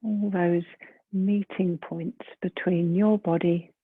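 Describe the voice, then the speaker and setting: A woman leading a guided meditation in a gentle, soft, breathy voice, unhurried, with long pauses between phrases. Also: on the low side, fairly even intonation. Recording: muffled phone-like lo-fi sound.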